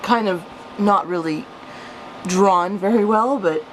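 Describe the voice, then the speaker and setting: A woman's voice: medium pitch, slow, a little hiss or hum, low-quality recording. A woman reading out her own childhood story with casual, amused, self-mocking commentary. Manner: self-mocking, chuckly, animated when reading. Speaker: storyteller, vlogger.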